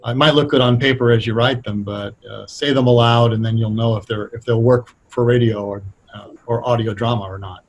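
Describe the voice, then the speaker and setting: A middle-aged or older American man explaining things conversationally as an interview guest, thoughtful and halting as he picks his words. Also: mid-range voice, steady tone. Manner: hesitant, thoughtful, halting delivery. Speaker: interview guest, radio playwright.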